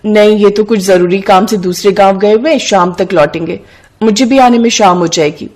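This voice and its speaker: A woman's voice: mid-range voice, normal speed, a little mellow, lightly acted. Woman playing a humble, honest young village vendor. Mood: friendly. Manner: earnest, polite, contented. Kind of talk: cartoon moral story dialogue.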